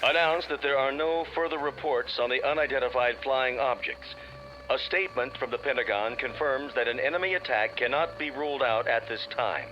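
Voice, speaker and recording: A man's voice: mid-range voice, a little fast. Muffled, crackly radio newsman. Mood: solemn. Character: solemn male radio news announcer. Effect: portable radio filter. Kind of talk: formal news bulletin. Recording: muffled, noisy portable radio broadcast.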